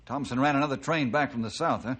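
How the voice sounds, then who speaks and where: An actor playing a calm, commanding forest ranger chief in 1950s radio adventure style, briskly giving orders. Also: male voice, mid-range voice, slightly rough. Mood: urgent, commanding. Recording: noisy vintage 1950s radio recording.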